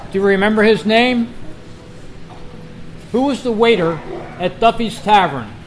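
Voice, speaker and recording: Male quizmaster, higher register, deliberate question-reading to a gathered club, noisy live meeting recording. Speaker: quiz host at a club meeting. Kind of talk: reading trivia questions aloud to a live group.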